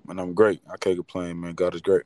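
A young man speaks with a low voice that stays flat and steady. He talks loosely in street slang and keeps checking in with "you know what I mean". The sound is dry, with no room echo. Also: brisk. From Detroit, Michigan. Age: young adult. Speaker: guest, boxer and entrepreneur. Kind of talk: casual interview talk.

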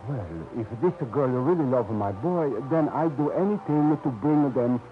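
A man's voice: on the high side, lively intonation, moderate pace, slight background noise, lightly acted. An actor playing a worried old Italian immigrant father with an Italian accent and broken English, pleading anxiously, in 1940s radio acting. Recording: muffled old radio recording.

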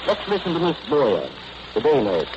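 Male voice, somewhat high, 1940s wartime radio broadcast, heavy shortwave noise throughout. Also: wildly swooping pitch, normal speed, lo-fi sound.

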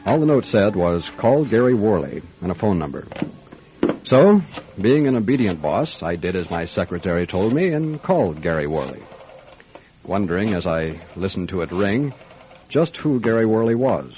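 A dull lo-fi radio recording of a methodical private detective. His voice is low and dry, telling his case in wry, matter-of-fact style. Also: big pitch swings, normal speed, warm tone, a little hiss or hum. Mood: wry narrating. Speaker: actor in radio drama. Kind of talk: radio mystery acting, first-person detective narration.

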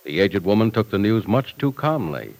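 A man narrating an old-time radio serial as its announcer, in a dramatic, measured delivery. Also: lowish voice, very animated, a little mellow.

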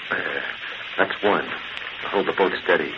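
An actor playing a rough, slangy gangster's henchman who grumbles through his work in an old-time radio drama. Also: low-pitched, unhurried. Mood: gruff, dutiful. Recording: muffled, noisy vintage radio recording.

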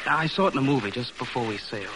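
A man's voice: medium pitch, animated, very fast, lightly acted. An actor playing an eager, boyish American merchant seaman in a 1940s radio drama. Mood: chatty, wondering. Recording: noisy vintage radio recording.

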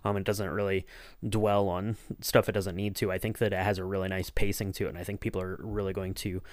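A man's voice: low-pitched, little pitch movement, quick pace, no echo. A man hosting a casual podcast interview, talking in long, rambling, circling sentences.

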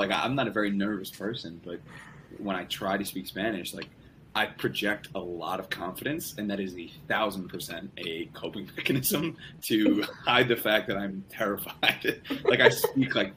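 A man's voice: fairly low pitch, moderate pace, sharp tone, a little hiss or hum, poor audio. A young man as a podcast guest, talking casually in rambling sentences with many restarts. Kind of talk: casual conversation.

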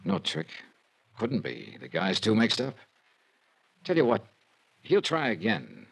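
Low male voice, American, terse kindly radio detective, very animated intonation, 1950s radio drama. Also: takes it slowly, gravelly, breathy edge, heavily acted. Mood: gentle, firm. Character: terse, world-weary but kindly male insurance investigator.

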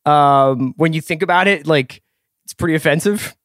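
Higher-voiced American man hosting a podcast. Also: moderate pace, fairly bright tone, no room sound, clean studio sound. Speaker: podcast host. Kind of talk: casual chat and sponsor read.

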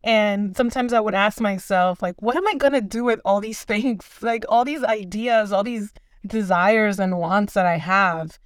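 A woman's voice: medium pitch, normal speed, hardly any pauses, dry recording, clean studio sound. A woman from the Dominican Republic, a leadership coach and podcast guest, talking enthusiastically and laughing often. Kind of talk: casual interview chat.

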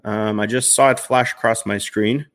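A man's voice: fairly low pitch, steady tone, moderate pace, fairly bright tone. A man in his thirties hosting a live stream, answering viewer questions in a chatty, upbeat way with lots of fillers and restarts. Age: 30s. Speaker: finance livestream host.

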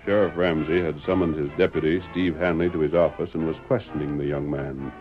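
A man's voice: a bass voice, fairly even intonation, a little fast. A man narrating an old-time radio drama from a script. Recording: dull, lo-fi old radio recording. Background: faint music.

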